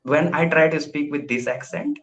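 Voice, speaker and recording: A man's voice: a little high, normal speed, a bit bright, highs cut off, slight background noise. Hesitant young Indian man on a video call. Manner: hesitant, repeats words when starting sentences. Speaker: English learner. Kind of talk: casual conversation practice.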